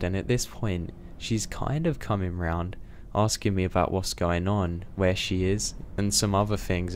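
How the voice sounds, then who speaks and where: Young man, very low voice, steady scripted horror narration, faint background hiss. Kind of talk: scripted first-person horror story narration.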